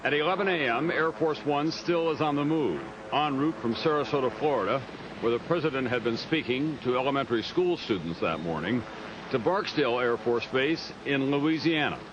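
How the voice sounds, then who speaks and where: A man's voice: mid-range voice, lively intonation, moderate pace. A man narrating a news documentary in a scripted reporter's style. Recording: dull lo-fi audio, faint noise.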